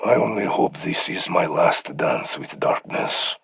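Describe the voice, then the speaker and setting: Gravelly, world-weary ex-commando. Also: male voice, low-pitched, lively intonation, a little slow, fairly bright tone, full character voice. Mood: weary, brooding. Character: world-weary, wry male ex-special forces operative. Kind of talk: scripted game voice lines.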